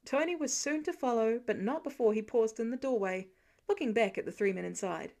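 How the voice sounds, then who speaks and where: A woman reading a story aloud as an audiobook narrator, expressive and scripted. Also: fairly high pitch, a little fast, gravelly.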